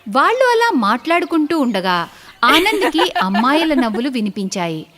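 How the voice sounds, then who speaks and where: Animated high-pitched woman storyteller. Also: normal speed. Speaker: story narrator. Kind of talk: dramatic scripted story narration for a cartoon. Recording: clearly noisy background.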